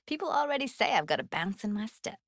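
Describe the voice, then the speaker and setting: A woman's voice: on the high side, big pitch swings, fast, no echo, studio quality. An actress playing a young, streetwise female techie in a video game, blunt and guarded but emotional, speaking in casual, clipped, intimate slang. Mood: dry, casual. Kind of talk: naturalistic video game dialogue acting.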